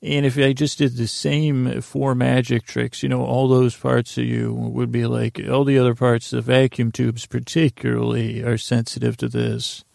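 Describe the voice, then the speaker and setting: A man hosting a podcast, telling a meandering, halting bedtime story in a goofy, self-deprecating way. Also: medium pitch, little pitch movement, gravelly, darkish tone, dry recording, clean studio sound. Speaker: podcast host. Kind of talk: rambling bedtime story monologue.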